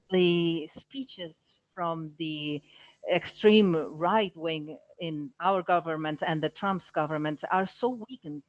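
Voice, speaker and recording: Dull dry audio, halting woman interpreter. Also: on the low side, no echo. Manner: halting, phrase by phrase, self-correcting. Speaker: live interpreter voicing panelists. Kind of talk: live simultaneous interpretation of a panel discussion.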